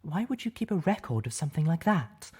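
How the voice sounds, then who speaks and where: A man voicing a gentle, troubled female pony who speaks softly in an audio story. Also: fairly high pitch, sing-song, fairly quick, breathy edge, pitched above the performer's own voice, exaggerated voice. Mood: troubled, questioning. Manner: soft-spoken.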